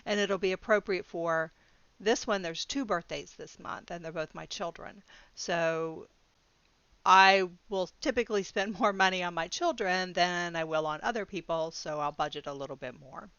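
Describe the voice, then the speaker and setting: A woman's voice: lowish voice, normal speed, fairly bright tone, clean tone, dry recording. Middle-aged woman, casual vlog talk, rambling with ums, laughs easily, dry close sound, slightly muffled lo-fi audio. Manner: rambling, chatty, frequent ums and restarts. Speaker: budgeting vlogger.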